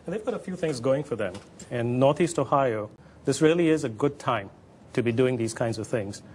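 A man in his forties speaking as an academic guest on a studio panel, explaining in a measured way and repeating points for emphasis. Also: mid-range voice, lively intonation, darkish tone. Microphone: lapel. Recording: clear background noise.

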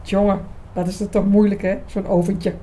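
A woman's voice: medium pitch, little pitch movement, moderate pace, dark tone, lightly acted. A frank young woman in a radio play, acted by a woman, talks expressively in a mid-range female voice. There is faint noise and ambience behind her words. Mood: lively, teasing. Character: lively, frank young woman in love with priest friend. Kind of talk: Dutch audio drama dialogue.

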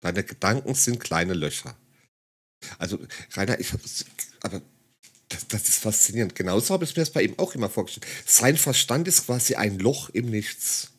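A man's voice: lowish voice, lively intonation, moderate pace, a bit bright, breathy edge. A man giving mocking, condescending commentary on a video clip in a sarcastic tone. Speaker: satirical commentator.

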